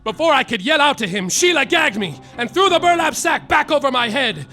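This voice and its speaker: A hapless hardboiled private eye who narrates his own troubles in a wry, deadpan noir drawl. His voice sits very high and bright. Faint music plays behind him. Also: fairly quick, full character voice. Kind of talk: live comedic radio-play narration. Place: live theater stage.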